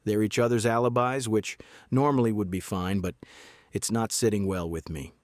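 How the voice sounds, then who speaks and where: An actor playing a guarded small-town sheriff in a noir audio drama, laconic, deadpan and measured. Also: male voice, on the low side, no room sound, clean studio sound, natural voice.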